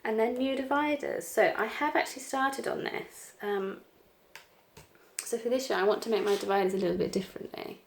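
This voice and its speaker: A middle-aged woman with a croaky cold voice, chatting casually and apologetically at her craft desk, with lots of ums. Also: on the high side, brisk, a little hiss or hum. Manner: rambling, apologetic, frequent ums. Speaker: planner vlogger. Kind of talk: casual chat over crafting. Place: home craft desk, indoors.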